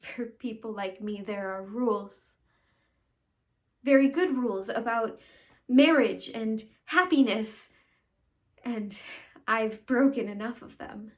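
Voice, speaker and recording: Halting, earnest young woman acted by a woman. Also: a little high. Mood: pleading, emphatic. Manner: halting, pleading, with long pauses and hushed phrases. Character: earnest, conflicted young woman confessing a painful farewell. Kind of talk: dramatic stage monologue.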